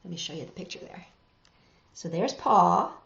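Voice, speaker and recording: A woman reading picture books aloud to children, expressive and exclaiming, lingering on words and adding chatty asides. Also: fairly low pitch, moderate pace, creaky. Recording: dull, echoey room recording.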